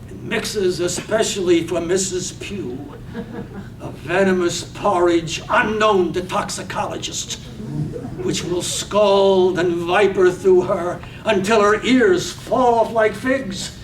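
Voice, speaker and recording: A man narrating a poetic radio drama in a dramatic reading. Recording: roomy, distant microphone, faint rumbly noise.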